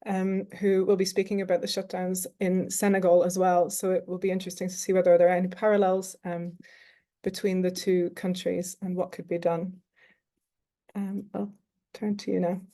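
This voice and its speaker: A woman moderates a panel over a video call in a soft-spoken, slightly hesitant way. Her voice is low, mellow and quite even in pitch. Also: moderate pace. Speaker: webinar moderator.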